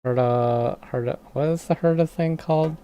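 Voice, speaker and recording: A game streamer chatting casually on a livestream, thinking aloud in a halting way while typing at a computer. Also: mid-range voice, warm tone. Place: indoors at a computer. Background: music, keyboard typing.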